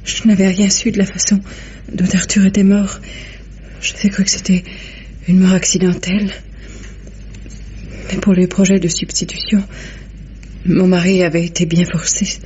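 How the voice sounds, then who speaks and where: A woman's voice: lowish voice, flat delivery, warm tone, close to an everyday voice. An actress as a frightened, weakened woman victim. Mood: fearful, weary. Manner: faint, halting, plaintive. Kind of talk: old French radio drama acting. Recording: dull old radio recording, faint noise.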